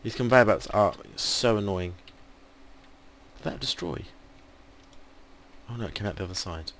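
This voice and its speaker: A man's voice: fairly low pitch, normal speed, fairly bright tone. An English man commentating casually on his game, thinking aloud with many ums, while losing his voice. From England. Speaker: gaming video host. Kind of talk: casual let's play commentary.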